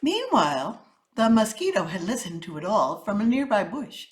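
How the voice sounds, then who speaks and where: A woman reading a children's story aloud with dramatic, deliberate narration. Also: medium pitch, very animated.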